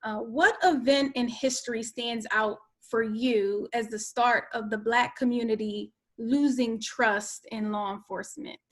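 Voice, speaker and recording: A woman's voice: a little high, fairly even intonation, unhurried, few pauses. A woman facilitating a discussion, putting prepared remarks and questions to a guest. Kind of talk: moderating a discussion, partly reading prepared questions.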